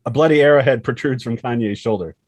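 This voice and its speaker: A man's voice: mid-range voice, quick pace, darkish tone, no room sound. A man reading a screenplay's action lines as dramatic narration, expressively and in short punchy phrases. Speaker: screenplay reader.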